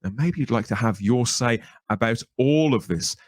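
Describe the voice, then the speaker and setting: A man's voice: mid-range voice, expressive, moderate pace, dry recording, clean studio sound. A man hosting a podcast in a chatty, enthusiastic, promotional way. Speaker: podcast host. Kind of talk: podcast interview and scripted sign-off.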